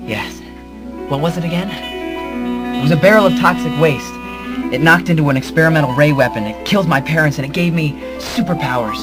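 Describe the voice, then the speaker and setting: A man playing an earnest, hapless hero who narrates his story in a halting, rambling, melodramatic way in a comedic radio drama. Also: fairly high pitch, over loud music, natural voice.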